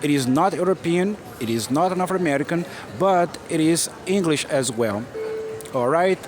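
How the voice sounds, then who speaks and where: A man's voice: on the high side, lively intonation, moderate pace, music in the background. A Brazilian man, a native Portuguese speaker, giving an earnest, encouraging English lesson outdoors and repeating his key phrases. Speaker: English teacher. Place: busy city street outdoors. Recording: noisy outdoor street recording. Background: street noise and traffic.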